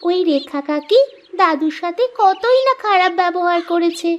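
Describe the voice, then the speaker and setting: Very high-pitched little village girl. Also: normal speed, highs cut off, slight background noise. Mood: caring. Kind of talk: Bengali fairy-tale cartoon acting.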